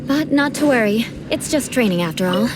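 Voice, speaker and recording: A woman's voice: on the high side, animated, fairly quick, background noise, close to an everyday voice. A woman voicing a proud, formal young noblewoman knight who mentors with dry, teasing remarks in a video game. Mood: cool. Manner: formal, haughty, dryly teasing. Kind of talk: game cutscene voice acting.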